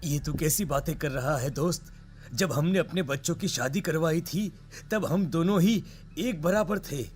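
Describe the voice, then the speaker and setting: A man's voice: on the high side, measured pace, darkish tone, lightly acted. A man playing an argumentative, earnest village father in dramatised story dialogue, with slight background noise. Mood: pleading. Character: village fathers arguing, one pleading, one dismissive.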